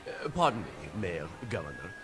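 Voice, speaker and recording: Smarmy, wildly swooping party host. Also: male voice, sits low, big pitch swings, normal speed, music in the background. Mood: smarmy, jovial. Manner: suave, oily, hammy, flirtatious. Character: smarmy, pompous male high-society party host villain. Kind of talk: video game cutscene acting.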